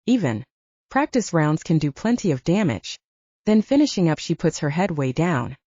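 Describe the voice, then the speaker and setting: A woman's voice: low voice, big pitch swings, normal speed, warm tone, no echo, dull audio. A woman reading an audiobook, narrating dramatic fiction in a scripted style.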